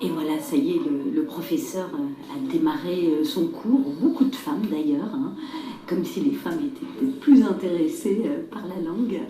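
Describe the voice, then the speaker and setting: A woman's voice: low voice, normal speed, mellow. A woman reporting for radio, narrating and asking questions in a plain conversational way. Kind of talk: radio documentary narration and on-site interviewing. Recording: echoey room with faint music.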